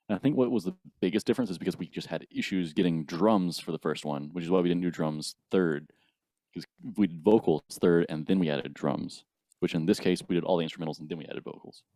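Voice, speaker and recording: A man's voice: low-pitched, warm tone, no echo. A man in his early twenties, a band musician, explaining things step by step in a casual interview. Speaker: band bassist, guest.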